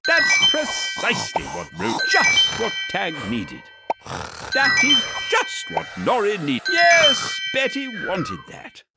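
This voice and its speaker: A woman's voice: very high voice, huge pitch swings, takes it slowly. A woman narrating a children's game in an encouraging, praising, sing-song way. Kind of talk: scripted narration for young children. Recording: game audio over loud music.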